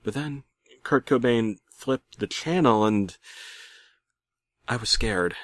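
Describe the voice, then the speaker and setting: A man playing a bitter middle-aged working dad who narrates a comic horror story with theatrical, aggrieved deadpan. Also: medium pitch, takes it slowly, no room sound, studio quality. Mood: alarmed. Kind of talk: comedic creepypasta narration.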